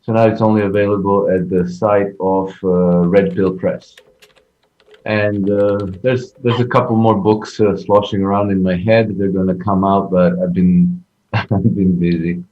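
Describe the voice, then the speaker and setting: A man's voice: low-pitched, level tone, a little slow. A man from Croatia speaking casually as a guest author, understated and a little hesitant. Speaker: author and blogger, show guest. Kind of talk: casual conversational introduction. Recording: dull, band-limited connection.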